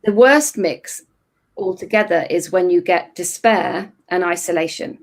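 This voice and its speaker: A middle-aged woman therapist with a croaky voice, giving thoughtful explanatory answers as an interview guest, restarting phrases now and then. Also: lowish voice, unhurried.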